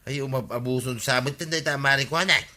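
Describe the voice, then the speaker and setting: A man's voice: medium pitch, steady tone, fast talker, bright, forceful tone. A man acting a scolding, plain-spoken villager in a radio comedy-drama, with an Ilocano accent. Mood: grumbling.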